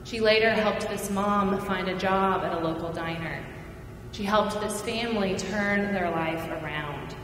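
Middle-aged lady preacher, calm and earnest, big echoey sanctuary, kinda far from the mic. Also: mid-range voice, noisy background. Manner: measured, earnest preaching with falling phrase ends. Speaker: pastor. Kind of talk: sermon. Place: large church sanctuary. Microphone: pulpit mic, far camera.

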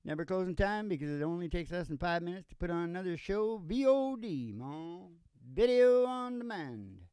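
A man's voice: sits high, sing-song, normal speed, a little mellow, smooth, no room sound. An older man hosting a country comedy podcast on a stage mic with folksy, playful, rambling banter. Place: small curtained stage studio, indoors. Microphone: stage microphone on a stand. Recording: live acoustic guitar under the voice. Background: acoustic guitar.

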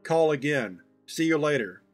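A man's voice: a little high, expressive, moderate pace, soft background music, lightly acted. A man voicing an affectionate, proud, teasing old grandfather in a fond, folksy, chatty manner for a story reading. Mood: fond, advising.

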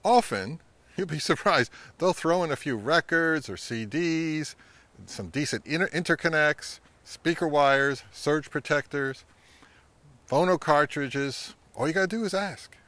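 A man's voice: fairly high pitch, lively intonation, a little slow, a bit bright, dry recording. Middle aged guy doing a how-to vlog outside, instructive, voice moves up and down a lot. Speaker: host of a vintage audio channel. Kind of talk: advice talk to camera. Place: outdoors, among trees or on a porch.

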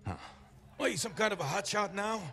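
An actor playing a gruff, proud, stubborn middle-aged mercenary in a video game, blunt and grumbling. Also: male voice, high voice, animated, brisk, pushed and bright, a little hiss or hum, heavily acted. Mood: bitter, defiant. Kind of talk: video game dialogue acting.

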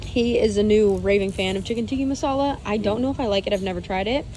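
A woman's voice: medium pitch, fast talker. Young woman vlogging on her phone in a big store, chatty and upbeat, lots of "like", noisy background. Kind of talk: casual vlog chat. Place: large warehouse store, indoors. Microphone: phone camera held at arm's length.

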